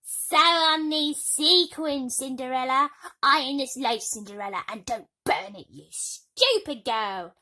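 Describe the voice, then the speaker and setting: A woman voicing a mean, spoilt young stepsister in a storybook, shrieking drawn-out, bossy commands. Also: high voice, bright, forceful tone, voice pushed up. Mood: imperious.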